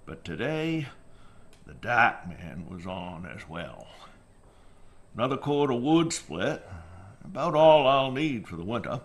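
A lonely old-hand survivor doing a log, medium pitch, unhurried, radio drama. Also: male voice, sing-song. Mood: weary, matter-of-fact. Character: solitary weathered survivor recording a log.

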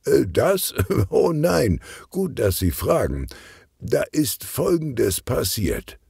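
A man's voice: medium pitch, sing-song, slow, creaky, a little mellow, slightly rough, no room sound, studio quality, voice pushed up, exaggerated voice. A man voicing a jovial, unflappable older police detective in a children's audiobook, good-humoured and slightly bumbling. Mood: sheepish.

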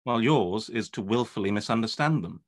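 A young man playing a proud, reserved English gentleman in a period radio play, formal and measured. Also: lowish voice, lively intonation. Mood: proud, guarded. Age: young adult. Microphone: video call.

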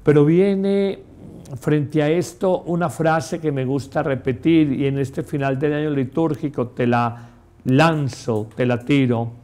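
A middle-aged man, a priest, giving a homily in a slow, emphatic way, speaking straight to the listener in a small chapel. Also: a little high, fairly even intonation, dark tone. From Colombia. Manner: slow, emphatic preaching, addressing the listener directly. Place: small chapel set, indoors.

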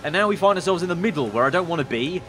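A young man commentating a racing game, excitable and slightly hoarse, breaking into shouts at crashes. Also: on the high side, rapid-fire. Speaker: gaming YouTuber.

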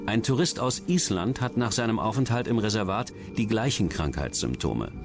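A man's voice: on the low side, sing-song, normal speed. A man reading scripted true-crime documentary narration as the narrator. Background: background music.

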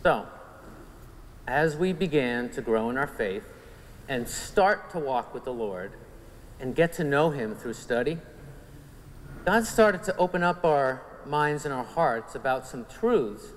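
A man's voice: medium pitch, expressive, unhurried, long pauses. Long Island man testifying in a church. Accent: Long Island New York. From Long Island, New York. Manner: earnest, storytelling, gesturing with his hands. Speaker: conference speaker giving testimony. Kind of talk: personal faith testimony to a men's conference. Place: large church sanctuary. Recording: church hall reverb, faint room noise.

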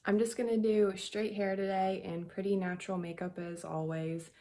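A woman's voice: medium pitch, steady tone, normal speed, mellow. A woman in her twenties talking casually and chattily in a personal weekend vlog, filmed at arm's length. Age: 20s. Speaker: vlogger and model. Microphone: camera at arm's length.

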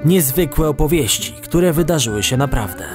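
A man's voice: a little high, expressive, normal speed, music in the background. A man who hosts podcasts, reading scripted promotional narration. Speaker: podcast host.

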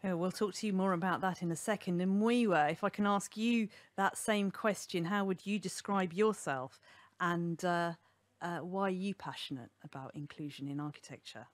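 A woman's voice: on the low side, normal speed, no echo. Woman hosting a radio panel. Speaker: radio host. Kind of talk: hosted panel interview. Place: radio studio with bookshelves. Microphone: studio mic on boom arm, headphones.

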